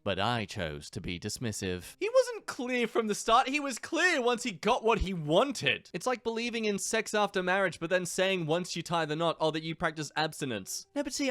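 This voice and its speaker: A man's voice: a little high, a bit bright, no echo. A young man from England hosting a reaction channel, reading online stories aloud and adding sarcastic asides. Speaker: YouTube reaction host.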